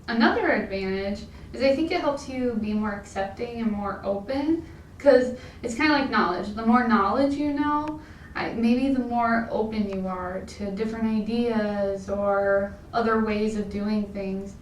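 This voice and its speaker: Slow-talking woman in an echoey room. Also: medium pitch. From American Midwest. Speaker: interviewee. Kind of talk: reflective interview answers. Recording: echoey room, distant mic, faint noise.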